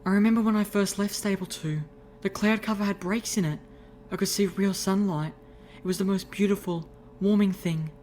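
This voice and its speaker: Casting: actress as sensitive, wistful mare, medium pitch, reflective delivery, faint ambient noise, audio drama storybook. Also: female voice, steady tone, normal speed, darkish tone, lightly acted. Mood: wistful, awed.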